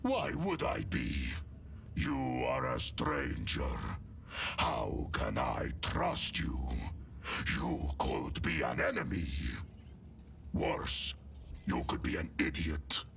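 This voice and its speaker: Gravelly savage giant warrior. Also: male voice, huge pitch swings, fairly bright tone, a little hiss or hum, exaggerated voice. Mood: scornful. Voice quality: gravelly, growling. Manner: slow, menacing, swinging from low growl to roar. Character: savage, cunning, red-haired giant warrior prisoner, mocking and hostile. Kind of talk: dramatic video game voice acting.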